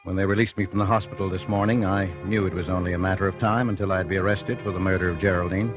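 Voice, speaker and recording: An actor playing a meek, lovelorn man who speaks haltingly and pleadingly in a 1940s radio drama. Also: low voice, steady tone, close to an everyday voice. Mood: resigned. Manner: hesitant, stammering, pleading. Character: meek, lovelorn man resigned to a murder charge. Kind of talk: 1940s radio drama acting, first-person narration. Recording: 1940s radio broadcast, faint music behind.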